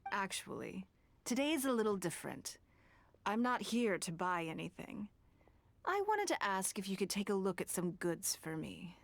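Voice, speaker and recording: A woman's voice: medium pitch, sing-song, normal speed, gravelly. An actress playing a composed, businesslike noblewoman in a video game, speaking in a poised, polite manner. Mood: polite, assured.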